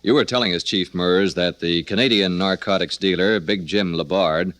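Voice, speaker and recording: A man's voice: deep voice, normal speed, fairly bright tone, no room sound. A man acting as a formal radio host, questioning in scripted 1940s announcer style. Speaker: radio show host interviewing a police chief.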